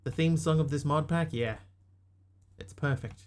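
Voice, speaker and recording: A man's voice: fairly high pitch, a little slow. A man from New Zealand with a New Zealand accent, streaming a game live and chatting casually with his viewers as he reads out their messages. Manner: chatty, reads out chat and answers it. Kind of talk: casual live gaming chat.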